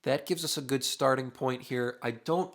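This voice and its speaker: A man's voice: mid-range voice, moderate pace. A young man, a mixing engineer, talking through a studio tutorial in a casual, thinking-aloud way, his voice a little worn. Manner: relaxed, thinking aloud with many uhs while working. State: losing his voice. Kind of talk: casual screen-recorded tutorial. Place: home studio with drums behind.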